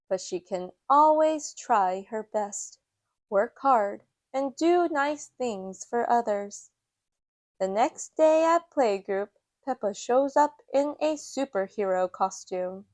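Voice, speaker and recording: Female storytime reader: slightly high voice, slow, measured narration, dry close sound. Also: no room sound. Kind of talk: children's picture book read-aloud.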